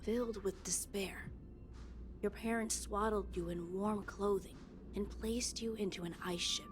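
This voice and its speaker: Video game figure, woman playing grave female storyteller, medium pitch, solemn, background music. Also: steady tone, measured pace, close to an everyday voice. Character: ominous, solemn woman telling a tragic legend.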